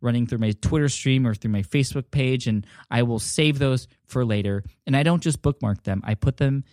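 Friendly podcast host, dry close sound. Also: male voice, mid-range voice, normal speed, a little mellow, no room sound. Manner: friendly, rambling, frequent restarts. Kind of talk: casual solo podcast talk.

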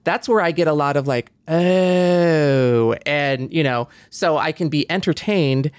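Low-voiced woman in casual chat. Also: a little slow, no echo. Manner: informal, rambling, repeats words. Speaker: podcast co-host.

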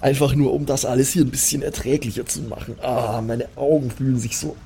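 A man's voice: medium pitch, level tone, a little fast, gravelly, warm tone, natural voice. A man playing a whining fantasy adventurer hooked on mushrooms, moaning and hesitant, with faint noise in the recording. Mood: whiny craving.

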